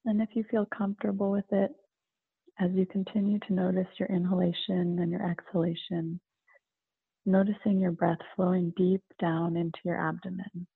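A woman leading a guided meditation in a calm, soothing manner, with gentle pauses between phrases. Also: fairly low pitch, vocal fry, slightly rough, no room sound. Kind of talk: slow guided meditation narration. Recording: narrow phone-like, muffled sound.

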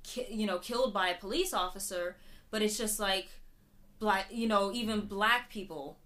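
A woman's voice: medium pitch, moderate pace, a bit bright. A young woman guest on a podcast, talking earnestly and casually, with many restarts and "you know"s. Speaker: podcast guest, protest organizer. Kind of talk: casual conversational interview.

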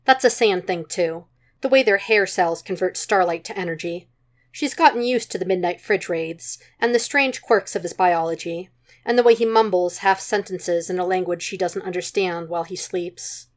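A woman's voice: fairly low pitch, normal speed. A woman reading a story aloud as scripted narration, in the manner of an amateur audiobook. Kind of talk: scripted narration of fan fiction.

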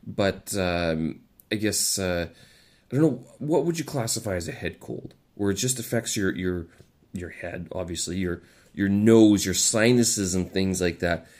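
A man's voice: deep voice, unhurried, a little mellow. A man with a stuffy nose from a head cold, rambling in a casual vlog with many fillers and restarts. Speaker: vlogger. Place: living in China.

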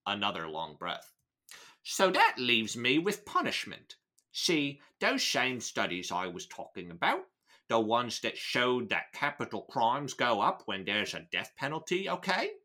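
A man acts out a smug underworld boss from an audiobook-style novel reading, with a wobbly Cockney accent. The voice is bright and swings around in pitch as it rambles through threats. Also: full character voice. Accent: shaky put-on Cockney. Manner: rambling, threatening monologue punctuated with questions. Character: menacing, smug Cockney male crime boss lecturing a victim.

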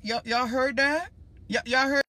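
A man with a high-pitched voice hosting his own livestream as a preacher, talking to viewers in an emphatic, rambling way. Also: steady tone, a little slow, pushed and bright, slight background noise. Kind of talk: casual livestream talk.

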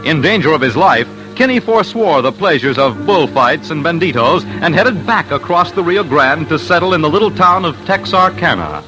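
A man's voice: fairly high pitch, sing-song, normal speed, hardly any pauses, poor audio. A man delivering dramatic scripted narration for an old-time radio documentary. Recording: old radio broadcast with music.

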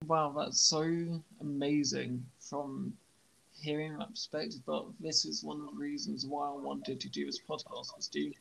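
A young man who stammers, hosting a podcast and speaking in a halting, reflective way. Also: on the high side, level tone, gravelly, fairly bright tone, slightly rough, rough recording. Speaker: podcast host. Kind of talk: podcast conversation.

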